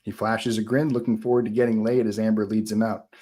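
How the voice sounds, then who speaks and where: A man reading screenplay action aloud as narrator of a live table read, in an informal, animated way. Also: on the low side, a little fast.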